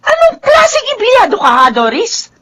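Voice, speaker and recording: A stern, nagging middle-aged mother in a radio drama. Her voice is bright and forceful and swings up and down as she scolds. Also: extremely high pitch, wildly swooping pitch, normal speed, soft background music, heavily acted. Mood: exasperated, scolding. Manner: scolding, suspicious, sharp.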